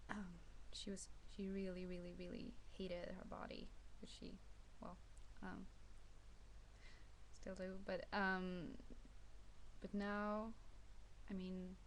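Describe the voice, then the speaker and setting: A woman's voice: medium pitch, little pitch movement, slow pace, a little hiss or hum. A young Swedish woman, not a native English speaker, talking hesitantly in a casual personal vlog, with many fillers and restarts. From Sweden. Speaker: vlogger.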